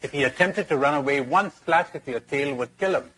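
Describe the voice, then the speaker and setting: A man's voice: fairly high pitch, brisk, fairly bright tone, breathy edge, rough recording. A man voicing a bold, shrewd little rabbit in a spoken fable, challenging and reasoning. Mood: shrewd challenge.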